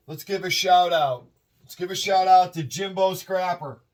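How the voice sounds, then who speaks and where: A man's voice: high-pitched, fairly even intonation, normal speed, a little mellow. A middle-aged man with a Massachusetts accent, chatting in a rambling, upbeat way while taking apart scrap in a shed at night. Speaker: scrapping video host. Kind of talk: casual hands-on narration. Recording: distant mic, faint noise.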